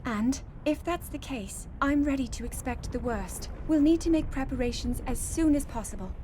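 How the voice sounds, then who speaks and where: An actress playing an earnest young princess who lectures about nature and research in a game cutscene. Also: female voice, high voice, slight background noise, close to an everyday voice. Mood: serious. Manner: earnest, lecturing, quick to excitement. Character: young adult princess, earnest scholarly type. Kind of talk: video game cutscene acting. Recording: game audio with soft music.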